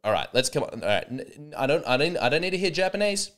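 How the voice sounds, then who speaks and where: A man's voice: a little high, big pitch swings, quick pace, a bit bright. A young man hosting a solo comedy podcast, rambling and sarcastic with many false starts.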